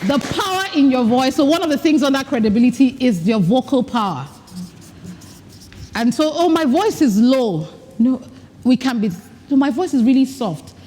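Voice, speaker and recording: A woman gives a workshop talk in a large hall, direct and coaching, sometimes acting out little dialogues. Her voice sits fairly high with a mellow, warm tone. A long reverb trails after each phrase. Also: expressive, normal speed, slight background noise. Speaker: speaker at a women's leadership retreat. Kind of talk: live workshop talk with breathing exercises. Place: large event hall with an audience. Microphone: recording mic, not amplified.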